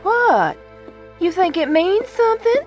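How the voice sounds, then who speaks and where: A woman's voice: very high voice, very animated, a little slow, faint music behind, exaggerated voice. A woman acting in an audio drama as a fretful, needy lady with a genteel, theatrical manner. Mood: puzzled, alarmed.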